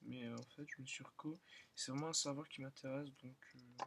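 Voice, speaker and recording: A young man who is still in school, slightly hoarse, rambling and thinking aloud with many fillers as he comments on his own gameplay. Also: mid-range voice, fairly even intonation, brisk, background noise. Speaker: gaming channel host. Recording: loud keyboard and mouse clicking.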